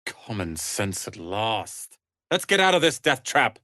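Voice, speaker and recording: A man's voice: lowish voice, very animated, measured pace, bright, forceful tone, no room sound, studio quality, heavily acted. A man playing a proud, prickly young apprentice wizard in a video game, speaking in a haughty, clipped and articulate way. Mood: urgent, resolute. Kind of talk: dramatic video game voice acting.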